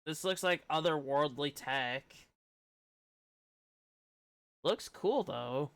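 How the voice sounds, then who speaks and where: Male game streamer, casual commentary, crisp, bright, slightly high voice, dry sound. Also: very slow, no room sound. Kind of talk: casual live playthrough commentary.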